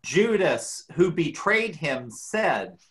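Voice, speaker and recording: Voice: mid-range voice, big pitch swings, slow pace. A church reader giving a dramatic reading of scripture.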